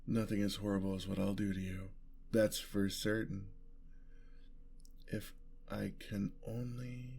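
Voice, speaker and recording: Man acting a slow, menacing bloodthirsty vampire. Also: on the low side, a little mellow, slight background noise. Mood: menacing, hunting. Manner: slow, savouring, breathy menace. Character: bloodthirsty male vampire, menacing, seductive, theatrical. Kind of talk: ASMR fantasy roleplay.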